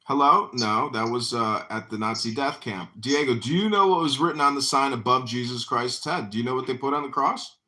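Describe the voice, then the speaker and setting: A man's voice: medium pitch, fairly quick, few pauses, sharp tone. A man hosting a heated group chat, combative, repeating his questions and swearing often. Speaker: podcast host.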